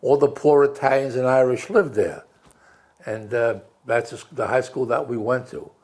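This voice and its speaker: An elderly man of about ninety tells stories from his past in a chatty, wry way. His voice sits at an ordinary middle pitch. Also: steady tone, moderate pace, darkish tone. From New York City. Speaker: veteran interviewee. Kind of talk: oral history interview.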